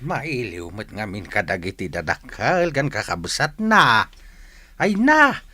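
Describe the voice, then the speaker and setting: A man's voice: lowish voice, sing-song, slow pace, pushed and bright, no room sound, heavily acted. A man acting an affectionate old village grandfather with an Ilocano accent in a radio comedy drama, exclamatory and drawling. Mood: fond, wistful.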